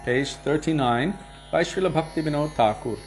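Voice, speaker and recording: A man leading a devotional program, casually answering viewer questions and sharing anecdotes in a relaxed way, chuckling now and then. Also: on the high side, normal speed, over music. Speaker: kirtan leader and devotional teacher.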